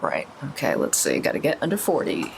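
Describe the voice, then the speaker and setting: A man's voice: mid-range voice, wildly swooping pitch, fairly quick, creaky, a little hiss or hum. A man, a tabletop game player improvising casual in-character talk.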